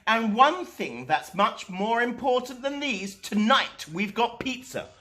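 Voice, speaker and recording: A man voicing a brisk, affectionate squirrel mother giving instructions in a sing-song way. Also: very high voice, expressive, sharp tone, pitched above the performer's own voice, exaggerated voice. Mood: fond, instructing.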